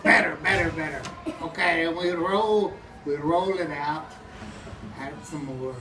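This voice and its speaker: A woman's voice: sits low, unhurried. A woman playing a brusque, grumbling pizza maker in broken English for an improvised comic puppet show. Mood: busy muttering. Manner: broken English with dropped words. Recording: roomy, distant mic with background noise.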